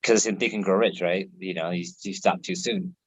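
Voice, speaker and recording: A man's voice: deep voice, fairly even intonation, brisk, husky. Laid-back American man, lo-fi call. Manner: laid-back, swears freely, laughs often. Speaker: host. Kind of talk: casual chat on a live video call. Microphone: video call.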